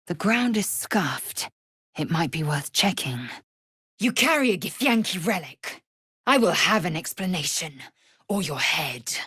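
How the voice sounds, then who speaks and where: A fierce young alien warrior woman from a fantasy video game, low and measured. She speaks in clipped, formal, commanding phrases with haughty authority. The voice has a husky rasp and sounds dry and close. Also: expressive, a bit bright, dry recording, exaggerated voice. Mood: wary, observant, then stern, commanding. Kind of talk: video game character voice lines.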